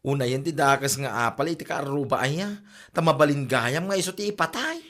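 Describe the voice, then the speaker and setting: A man's voice: fairly high pitch, animated, brisk, a bit bright. Gossiping best friend, acted by a man, Ilocano accent, mocking humour, chatty, comedy drama delivery. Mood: mock concern. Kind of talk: comedy radio drama acting.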